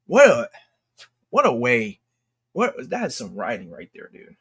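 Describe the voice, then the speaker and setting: A man's voice: mid-range voice, wildly swooping pitch, a bit bright, breathy edge. A man with a mid-range voice delivers off-the-cuff online commentary. He rambles and halts, breaking into his own sentences to start again. His wording is slangy and exclamatory, with emphatic stresses. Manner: rambling, halting, self-interrupting. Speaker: YouTube commentator. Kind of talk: unscripted casual commentary rant.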